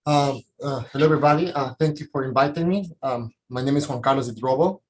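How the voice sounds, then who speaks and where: A man's voice: mid-range voice, fast talker, darkish tone. A man with a Latin American Spanish accent, a scientist panelist informally recounting his career, often searching for words. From Ecuador and Colombia. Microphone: video call. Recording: noisy video-call audio.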